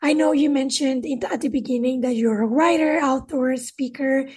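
A woman's voice: high voice, normal speed, darkish tone, breathy edge, distant mic. A woman hosting a podcast interview, chatty and casual, filling her speech with "like" and "right?". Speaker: podcast host.

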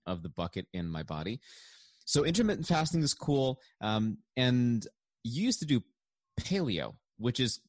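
A man's voice: mid-range voice, big pitch swings, normal speed, dry recording, clean studio sound. A man hosting a podcast in a casual, jokey, rambling way, with lots of restarts and laughs. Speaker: podcast host.

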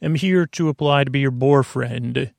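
A man hosting a bedtime podcast in a creaky, lulling voice, meandering and halting as he drifts off topic and loses his thread. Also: mid-range voice, a little mellow, no echo. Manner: lulling, soothing delivery. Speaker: host of a bedtime sleep podcast. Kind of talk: rambling, off-topic bedtime monologue.

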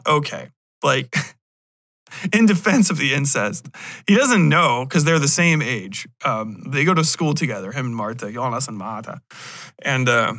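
A American man hosts a loose, rambling solo podcast. His voice is bright, sharp and a little high for a man, with blunt, sweary, irreverent delivery. The sound is very dry, with hardly any room on it. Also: no echo. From United States. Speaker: podcast host.

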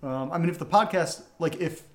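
A man's voice: on the high side, brisk. A man co-hosting a podcast, reading out listener questions and chatting casually with playful, wry teasing. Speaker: audio drama podcast co-host.

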